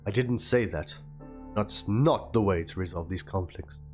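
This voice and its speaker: Low-pitched man doing a dramatic reading over music. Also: unhurried, darkish tone. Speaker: narrator reading aloud. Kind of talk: dramatic reading of a written chat log and document. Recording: music under the voice. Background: instrumental background music.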